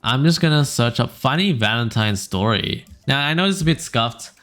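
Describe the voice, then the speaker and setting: A young man hosting a casual podcast, reading funny stories aloud in a smooth low voice, rambling with fillers and small laughs. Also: normal speed. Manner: relaxed, rambling, frequent fillers and little laughs. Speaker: podcast host. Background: faint background music.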